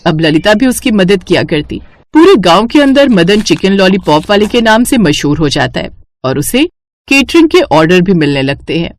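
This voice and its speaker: A woman's voice: medium pitch, very animated, moderate pace. A woman reading a scripted moral story as its narrator.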